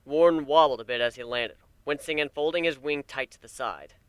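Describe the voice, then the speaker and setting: A man narrating a dramatic reading of fan fiction in an expressive, measured storytelling manner. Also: fairly high pitch, clean tone, dry recording.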